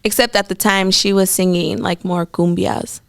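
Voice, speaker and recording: A woman guest on a podcast, talking about her singing in a relaxed, chatty voice. She fills her sentences with "like" and sounds easygoing. The recording is close and dry. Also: medium pitch, moderate pace, creaky, clear, resonant tone, no echo. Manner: relaxed, chatty, uses lots of "like". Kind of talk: casual interview chat.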